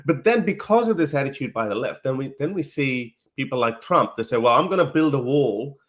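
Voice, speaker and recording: A man's voice: on the high side, a bit bright. A man from Pakistan hosting an interview, asking conversational questions and often building up to them at length. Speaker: interviewer, host.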